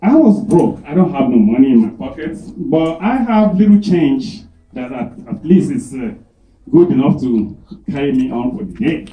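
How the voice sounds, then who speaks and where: A deep-voiced man tells a comic story to a small audience, and his pitch swings in a lively, animated way. His tone is very dark and mellow. The room adds noticeable echo. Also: normal speed. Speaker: business owner and amateur comedian. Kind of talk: storytelling stand-up to a small crowd.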